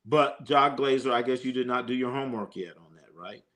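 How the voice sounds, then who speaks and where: A man's voice: mid-range voice, brisk, a bit bright. A man hosting a live sports stream, chatting loosely with viewers and a co-host, joking and using slang with lots of fillers. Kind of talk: casual live stream chat reading comments.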